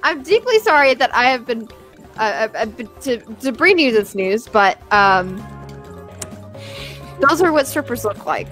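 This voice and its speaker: A woman's voice: fairly high pitch, very animated, a little slow, fairly bright tone, soft background music. A woman giving a joking mock talk on a stream, giggly and hesitant. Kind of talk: casual joking group stream.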